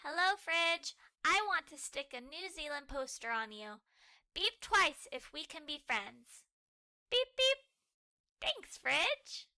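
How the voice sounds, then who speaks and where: A woman plays a young cartoon puppy girl, cheerful and eager. The voice is high and very bright, hopping about in pitch with child-like exclamations. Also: big pitch swings, slow, no echo, heavily acted. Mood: playful.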